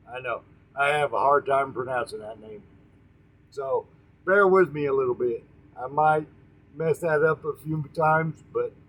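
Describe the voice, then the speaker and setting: A man hosting an informal storytelling session, speaking in a folksy, halting way, often repeating and correcting his words and addressing listeners directly. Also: fairly high pitch, a bit bright, poor audio. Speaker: storytelling host.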